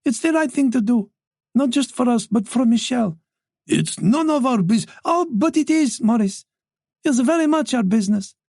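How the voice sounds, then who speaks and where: A man voicing an older mother who talks quickly and insistently. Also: very high voice, animated, creaky, darkish tone, no room sound, clean studio sound, pitched above the performer's own voice, heavily acted. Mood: earnest. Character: worried older French mother, forthright and talkative.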